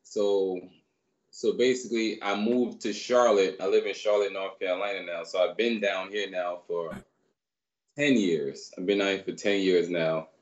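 A man in his thirties from Barbados, raised in New York, telling his story as a guest in a relaxed, rambling way on a video call. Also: deep voice, moderate pace, muffled recording. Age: 30s. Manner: relaxed, rambling, many fillers. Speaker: guest, personal trainer. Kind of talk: casual storytelling interview. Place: small room indoors. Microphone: video call webcam.

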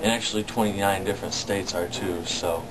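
A man's voice: sits low, steady tone, brisk, poor audio. A man gives a short spoken testimonial to camera. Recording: loud crowd chatter around speaker.

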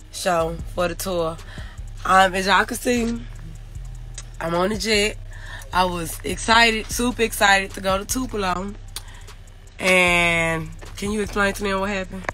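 A young woman with a Memphis Southern accent, talking casually to fans on a phone livestream from inside a private jet. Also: mid-range voice. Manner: Southern slang, fast and animated. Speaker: rapper on a livestream. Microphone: phone held at arm's length.

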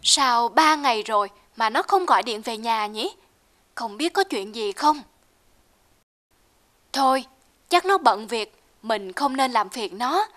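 Casting: animated older mum, self-effacing, gentle, prone to fretting; music bed. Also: female voice, a little high, takes it slowly, pauses often. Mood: worried. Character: caring, self-effacing older mother in a cartoon. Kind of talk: cartoon dubbing.

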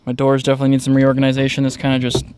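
A man's voice: mid-range voice, completely flat delivery, rapid-fire, background noise. A man vlogging outdoors, narrating a casual walkaround in a rambling, informal way. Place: outdoors in a driveway beside a truck.

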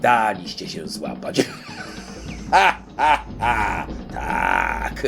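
A man's voice: sits low, huge pitch swings, very slow, vocal fry, music in the background, exaggerated voice. A man voicing a cruel, menacing jungle king in a storybook, mocking and savoring his triumph. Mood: gloating triumph.

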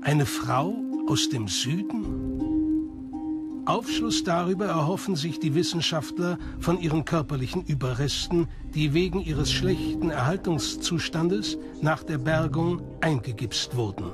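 A man's voice: a little high, a little slow. A man reading scripted documentary narration as the narrator of the film. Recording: music behind the voice. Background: music.

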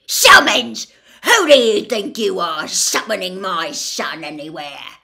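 A woman's voice: medium pitch, expressive, slow, vocal fry, bright, forceful tone, exaggerated voice. A woman voicing a furious, bullying old male wizard in a storybook reading, bellowing and jeering with contempt. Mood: indignant anger. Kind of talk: audiobook-style character reading.

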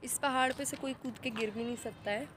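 A woman's voice: a little high, fairly even intonation, brisk, fairly bright tone, a little hiss or hum. A young woman with an Indian accent chatting casually to a phone camera outdoors, teasing and rambling with bits of English. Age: young adult. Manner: playful, teasing, rambling, mixes English into speech. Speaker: vlogger. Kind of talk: casual, joking vlog chat. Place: outdoors at a rocky hillside site. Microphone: phone at arm's length.

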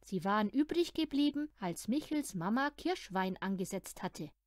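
Female storyteller for children's audiobook, very animated, measured pace, dry close sound. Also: mid-range voice, dry recording. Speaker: audiobook reader of children's stories. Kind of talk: expressive storytelling narration for children.

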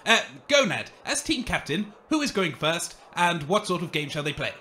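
A man's voice: sits high, sing-song, normal speed, bright, forceful tone, a little hiss or hum, full character voice. A man playing a showy, grandiose wasteland game show host in a comedic radio play.

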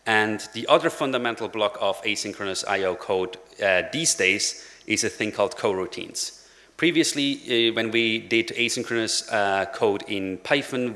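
A man's voice: on the low side, moderate pace, pushed and bright. Man, conference speaker, casual with fillers, long reverb of a large hall. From Switzerland. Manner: casual, frequent "uh" fillers, dry jokes. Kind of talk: technical conference talk. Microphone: lectern microphone.

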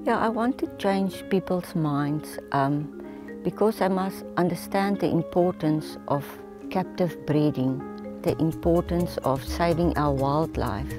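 A woman's voice: deep voice, measured pace, music in the background. A middle-aged woman, speaking as a wildlife project founder in a documentary interview. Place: outdoors in open bush, seated.

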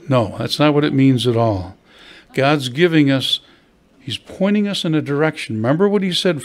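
An older man, a pastor, preaching a sermon at a church pulpit, conversational and asking his listeners questions. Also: on the high side. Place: church hall at a wooden pulpit. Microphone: stand mic at the pulpit.

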